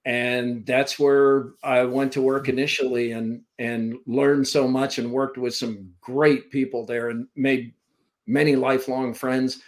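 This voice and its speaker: A middle-pitched man in his fifties, originally from Vermont, tells stories from his broadcasting career in a loose, rambling way. He pokes fun at himself as he goes, speaking over a computer call. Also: little pitch movement, a little slow. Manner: rambling, self-deprecating storyteller. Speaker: podcast guest, sports broadcaster. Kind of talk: casual storytelling interview. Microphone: remote call from a computer.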